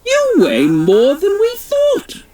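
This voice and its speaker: A storybook reader voicing a fussy, excitable queen in a high, shrill, dramatic voice. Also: slow, full character voice. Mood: alarmed. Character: excitable bossy queen with a jetpack.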